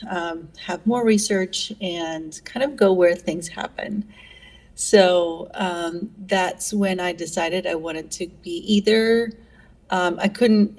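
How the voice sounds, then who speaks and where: A woman's voice: fairly low pitch, darkish tone, distant mic, poor audio. A middle-aged woman, a neurologist answering interview questions in a friendly way with many fillers. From Greece. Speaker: neurologist guest.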